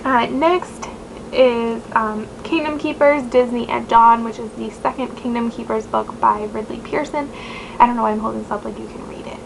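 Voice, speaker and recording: Young woman, nasal, chatty book vlogger; higher voice, hiss on the recording. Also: steady tone, moderate pace, vocal fry. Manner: chatty, rambling, many fillers. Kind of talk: casual chat to camera. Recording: noisy home recording.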